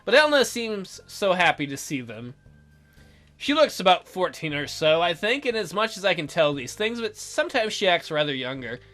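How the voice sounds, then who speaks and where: A man's voice: high-pitched, very animated, normal speed, a bit bright, faint music behind. A man reading a visual novel aloud as a let's play host, in a theatrical, jokey way with his own ad-libbed commentary.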